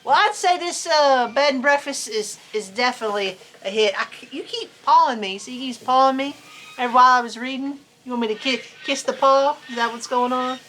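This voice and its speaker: A woman with a high, bright voice reads a children's story aloud. Her delivery is folksy and playful, and she slips easily between narration and casual chat. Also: brisk, slight background noise. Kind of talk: animated storybook reading with casual chatty asides.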